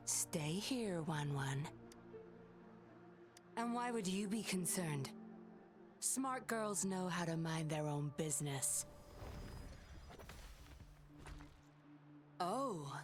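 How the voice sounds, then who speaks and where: An actress playing a sly, cool-headed, commanding woman in a video game, speaking in a controlled, condescending manner. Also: fairly low pitch. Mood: sly, teasing. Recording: music behind the voice.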